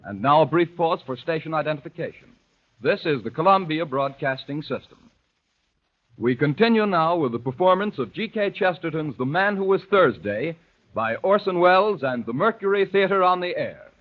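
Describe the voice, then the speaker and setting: A man's voice: a little high, lively intonation, normal speed. A man working as a radio announcer, speaking in formal 1930s broadcast style. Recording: tinny, phone-like 1930s broadcast recording.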